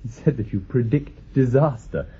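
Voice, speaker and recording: An actor playing a polite, cautious young mathematician with a British accent, formal and hesitant, in a radio drama. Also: male voice, mid-range voice, moderate pace, dark tone. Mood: wary, respectful. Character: earnest, polite young male mathematician newly arrived, cautious. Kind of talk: BBC radio drama acting. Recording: phone-like lo-fi radio recording, clear hiss.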